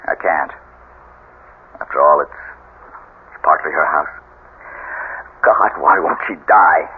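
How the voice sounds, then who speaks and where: An actor playing a brooding, commanding husband with a secret, in the style of a 1970s radio drama. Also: male voice, a bass voice, slow pace, breathy edge, full character voice. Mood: troubled, desperate. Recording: tinny, band-limited old radio with faint hiss.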